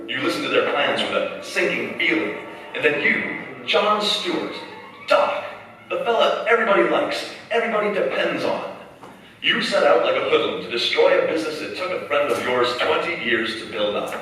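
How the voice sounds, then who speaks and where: Man as a forceful radio-play narrator, echoing hall. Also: a little high, big pitch swings, moderate pace, a little hiss or hum. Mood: grave. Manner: dramatic, intense. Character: grave, urgent male second-person radio narrator. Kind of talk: staged 1940s radio drama narration. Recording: echoey hall, far mic, music behind. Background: music.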